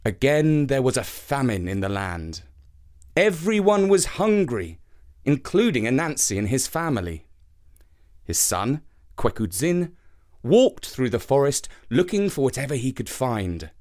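A man reading a children's folktale as an expressive storybook narrator. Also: mid-range voice, a little slow.

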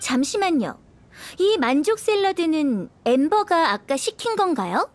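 A woman's voice: high voice, sing-song, a little slow. An actress playing a proud, aloof young noblewoman knight with a cool, haughty manner and hidden kindness in a video game. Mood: composed.

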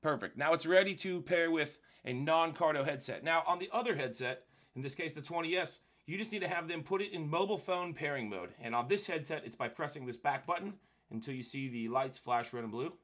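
A man's voice: fairly high pitch, expressive, brisk, few pauses, a bit bright. A man presenting a casual step-by-step product demonstration in a conversational, explanatory way.

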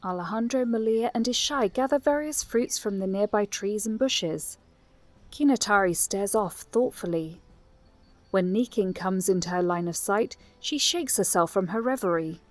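A woman's voice: mid-range voice, moderate pace, dry recording. A woman narrating a scripted audio drama.

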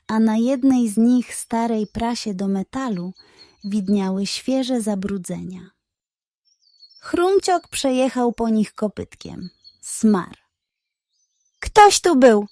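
Woman reading a kids bedtime story, slow n suspenseful, highish voice, very dry sound. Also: mellow, no echo. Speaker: children's storyteller. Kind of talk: scripted bedtime story narration.

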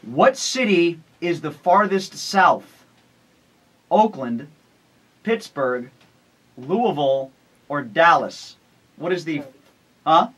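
A man with a fairly high voice hosts a morning radio show. He runs a quiz and jokes with his guests. He is recorded close on a boom mic in a radio studio. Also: lively intonation, slow, long pauses, fairly bright tone, slightly rough, rough recording. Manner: joking, quizmaster tone. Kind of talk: lively radio banter.

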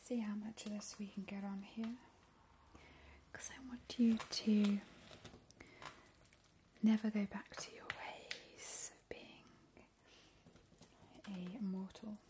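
A woman playing a playful, ghoulish vampire in a whispered ASMR roleplay, breathy and slow, with soothing relish. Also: mid-range voice, little pitch movement, natural voice. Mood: hushed, ghoulish. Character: playful, ghoulish immortal female vampire baking gruesome treats. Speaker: ASMR host in character. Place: home kitchen, indoors. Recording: noticeable background hiss, soft clicks.

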